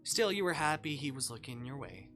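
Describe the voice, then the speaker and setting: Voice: medium pitch, big pitch swings, brisk, over music. A streamer narrating a visual novel live, reading aloud with casual asides and the odd stumble over a line.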